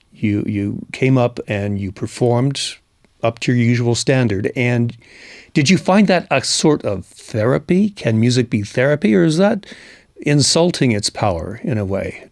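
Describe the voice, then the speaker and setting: Measured male interviewer, dry studio. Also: mid-range voice, animated, a little mellow, no echo. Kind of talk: interview questions.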